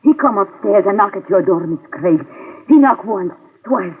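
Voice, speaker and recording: A man's voice: sits high, animated, normal speed, breathy edge. An actor in a 1950s radio drama playing a frightened male witness who speaks in broken English and short clipped phrases. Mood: agitated. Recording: tinny lo-fi 1950s radio broadcast.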